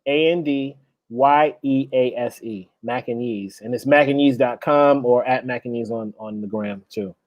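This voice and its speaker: Relaxed man chef on dull call audio. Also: medium pitch, moderate pace, dry recording. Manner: relaxed, thoughtful, laughs easily. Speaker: guest chef. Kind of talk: casual interview chat.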